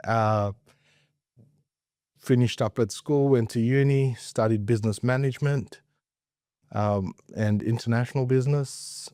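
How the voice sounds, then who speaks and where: An Australian man in middle age chats as an interview guest, recounting things in a casual way. His tone is dark and relaxed at an average pitch, recorded very dry and close. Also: measured pace, pauses often, no room sound. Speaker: interview guest, investment professional. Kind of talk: casual conversational storytelling.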